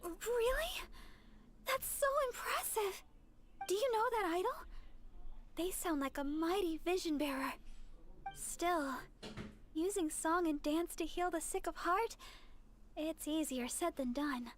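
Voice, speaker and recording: A woman playing a sweet, humble young deaconess and songstress in a fantasy game, speaking gently, earnestly and apologetically. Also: very high voice, expressive, unhurried, pauses often, slight background noise. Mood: humble, hopeful. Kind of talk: animated game voice acting.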